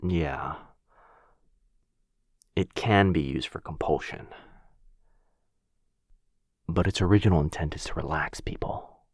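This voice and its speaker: A man as a deep, husky, gentle vampire. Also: exaggerated voice. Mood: gentle, hesitant. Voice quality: breathy, husky, soft. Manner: slow, hushed, halting, soothing delivery. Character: gentle, protective male vampire soothing a human. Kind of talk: boyfriend ASMR roleplay audio drama.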